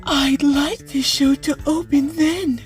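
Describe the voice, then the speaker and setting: A woman voicing a calm, courteous, scheming young male show director in an anime fan dub, measured and polite. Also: high voice, faint music behind. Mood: measured, earnest.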